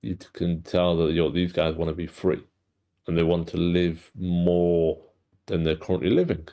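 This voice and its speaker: Very deep, gravelly, even-toned man. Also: moderate pace. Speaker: participant giving script feedback. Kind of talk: casual spoken feedback.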